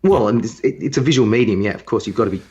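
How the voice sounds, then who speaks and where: A man's voice: on the low side, very fast, warm tone, breathy edge, highs cut off. A man co-hosting a podcast, chatting casually and giving his opinions. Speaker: podcast co-host.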